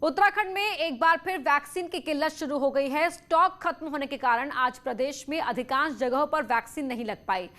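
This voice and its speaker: A woman's voice: high-pitched, normal speed, few pauses, a bit bright. A woman news presenter reading a scripted bulletin in a formal, emphatic newsreader manner.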